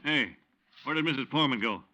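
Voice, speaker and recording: An actor playing a brusque, territorial American police sergeant, barking curt orders in a 1950s radio drama. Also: male voice, mid-range voice, expressive, fairly quick, bright, forceful tone. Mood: bossy, dismissive. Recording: slightly hissy vintage radio recording.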